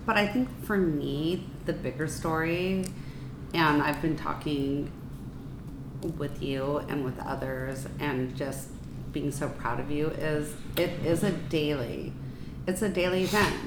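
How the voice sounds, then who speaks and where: A woman hosting a talk show, speaking supportively and deliberately to her guest. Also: low voice. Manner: warm, affirming, slow and deliberate. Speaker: host. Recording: roomy echo with clear background noise.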